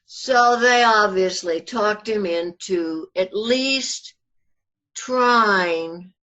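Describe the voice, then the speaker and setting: An older woman being interviewed, telling family anecdotes conversationally in a halting but animated, storytelling manner, on muffled audio. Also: medium pitch. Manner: halting, animated retelling with acted-out quotes.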